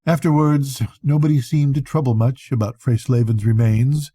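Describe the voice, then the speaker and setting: An older man reads a literary story in a very dark, soft-edged voice with a husky grain. He speaks like a grandfather at bedtime, conversational and expressive, letting phrases breathe. The sound is dry and close, with no room around it. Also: medium pitch, moderate pace, no room sound. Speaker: grandfather reading bedtime stories. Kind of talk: expressive literary audiobook reading.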